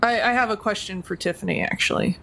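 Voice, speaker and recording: A woman's voice: mid-range voice, fast, bright, forceful tone, a little hiss or hum, low-quality recording. A woman, a tabletop game player talking through plans in casual roleplay.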